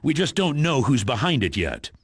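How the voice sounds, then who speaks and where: Commanding mission chief, animated pitch. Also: male voice, breathy edge, dry recording. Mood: authoritative. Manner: authoritative, measured, formal. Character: serious, commanding male agency chief briefing heroes. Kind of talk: video game voice acting.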